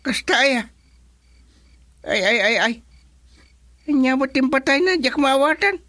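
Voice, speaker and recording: An actor playing a forgetful, grumbling elderly father in an Ilocano comedy radio drama, with a Filipino accent and drawn-out, sighing interjections. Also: very high voice, expressive, pushed and bright, no echo, full character voice. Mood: puzzled, weary.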